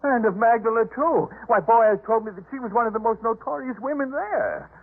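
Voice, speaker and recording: An actor playing a proud, disdainful master of a biblical household in a 1950s radio drama, with haughty, emphatic delivery. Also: male voice, extremely high pitch, fairly quick. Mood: indignant. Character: proud, indignant, disdainful male head of household in biblical times. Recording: muffled narrowband 1950s radio recording.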